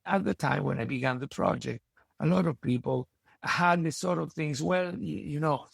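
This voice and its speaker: A man with a strong Italian accent speaking reflectively in an interview, pausing to search for his words. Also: a little high, creaky, husky, no echo, clean studio sound. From Italy. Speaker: podcast guest and university educator. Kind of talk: conversational interview.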